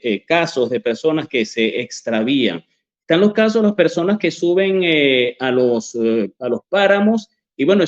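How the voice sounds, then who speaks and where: A middle-aged man with a Venezuelan accent giving unscripted interview answers in a hesitant, halting way. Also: medium pitch, lo-fi sound. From Barquisimeto, Venezuela. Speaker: journalist and author, interview guest.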